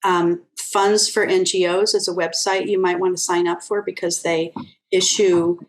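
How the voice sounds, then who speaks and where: Lady giving advice on a video call, lower voice, thoughtful and encouraging. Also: little pitch movement, normal speed. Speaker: webinar guest speaker, philanthropist.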